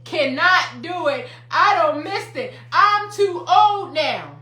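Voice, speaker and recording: A woman in her fifties gives a motivational livestream talk as a life coach, hammering her points home with repetition. Her voice is high and bright. The room sounds echoey. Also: sing-song, takes it slowly. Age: 50s. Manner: emphatic, repetitive, preacherly.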